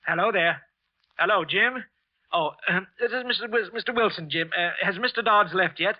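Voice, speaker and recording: A man acting a guilt-ridden, jittery office worker confessing to murder in halting, tense phrases, in the narrated style of 1940s radio drama. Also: high voice. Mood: nervous, falsely casual. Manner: halting, tense, broken phrases with repeated words. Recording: dull old-time radio recording with music.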